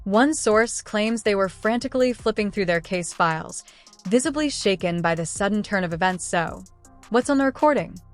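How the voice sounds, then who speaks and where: A woman's voice: mid-range voice, lively intonation, normal speed. Woman narrating dramatically over music.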